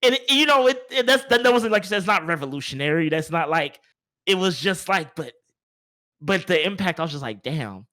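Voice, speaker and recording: A man's voice: high-pitched, lively intonation, fairly quick, pushed and bright. A man hosting a casual video game podcast, thinking aloud in a rambling way and repeating his words. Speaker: podcast host.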